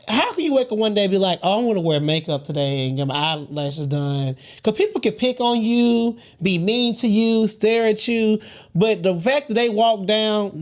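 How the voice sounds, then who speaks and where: A podcast co-host with a noticeably high, light man's voice. He tells his stories with sass and plenty of laughter, swearing freely along the way. His talk is loose and full of attitude. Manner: animated, sassy, swears freely, laughs often. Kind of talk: casual group chat, storytelling.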